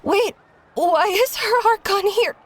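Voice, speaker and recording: A woman's voice: very high-pitched, slow pace. A woman playing a polite, grateful, eager young surfer in a video game. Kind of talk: video game character dialogue. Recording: faint music behind the voice.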